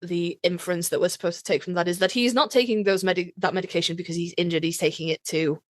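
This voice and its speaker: A woman's voice: on the low side, fairly even intonation, fast, no echo. A woman co-hosting a casual fan podcast, chatting in a sweary, gushing, self-mocking way. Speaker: podcast co-host.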